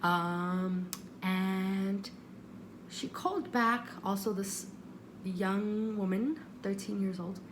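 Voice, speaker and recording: A woman's voice: medium pitch, fairly even intonation, drawn-out words. A woman telling a story in a casual, chatty vlog style, animatedly acting out what people said. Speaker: vlogger. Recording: noticeable background noise.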